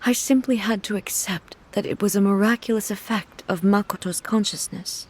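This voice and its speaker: An actress playing a solemn, regal goddess mourning her sister in a video game, speaking in a soft, hushed, measured way. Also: mid-range voice, steady tone, husky, lightly acted. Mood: sorrowful.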